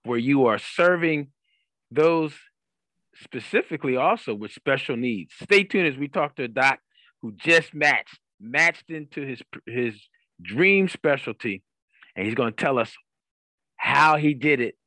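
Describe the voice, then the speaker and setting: A man's voice: mid-range voice, animated, measured pace, pushed and bright, no room sound, low-quality recording. A man hosting a career coaching podcast in an upbeat interviewing style, emphatic and given to repeating phrases.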